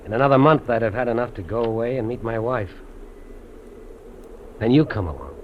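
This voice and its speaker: A man's voice: medium pitch, animated, fairly quick, close to an everyday voice. Guy doing a lonesome outlaw trapper, earnest n lovesick, old time radio drama, dull sound with faint hiss. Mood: resigned. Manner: earnest, wistful, confiding. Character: lonely, lovestruck fugitive trapper, adult man. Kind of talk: 1950s radio drama acting.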